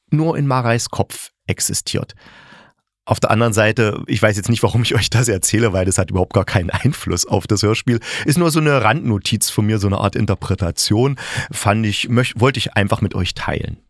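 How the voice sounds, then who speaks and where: A man's voice: medium pitch, dry recording, clean studio sound. A man hosting a podcast, giving a casual, conversational review in a chatty way with wry asides to his listeners. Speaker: podcast host reviewing audio dramas. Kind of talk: casual spoken review, telling plots conversationally.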